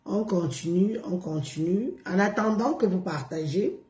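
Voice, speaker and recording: Slow, hoarse woman live streaming. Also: medium pitch, dark tone, roomy sound. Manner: repetitive, coaxing, drawn-out words. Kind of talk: casual live stream chat.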